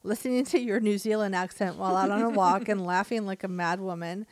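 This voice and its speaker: A woman's voice: medium pitch, steady tone, a little fast, no room sound. A woman in her thirties co-hosting a podcast in casual, giggly chat, joking and swearing freely. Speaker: podcast co-host.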